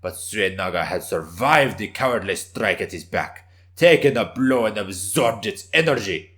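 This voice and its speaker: A man with a low voice reads a scripted tale as narrator. His delivery is intense and theatrical, made for a dramatic story reading. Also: lively intonation, moderate pace, fairly bright tone.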